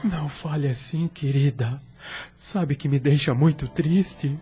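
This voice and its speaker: A man's voice: on the high side, a little slow, dark tone, breathy edge. A man playing a loving, sorrowful husband in an audio drama, speaking tenderly and earnestly. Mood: sad concern.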